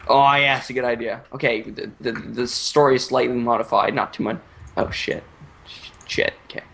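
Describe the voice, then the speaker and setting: A man improvising a joke story in a halting, stammering way while he draws, swearing often. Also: medium pitch, steady tone, gravelly, fairly bright tone. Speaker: storyteller, host.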